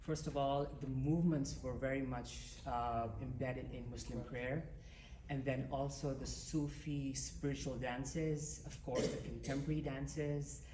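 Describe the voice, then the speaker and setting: A man's voice: mid-range voice, fairly even intonation, unhurried. A man from South Asia in a theater audience, giving a long, rambling comment full of fillers. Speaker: audience member. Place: theater auditorium. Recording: roomy theater with hiss.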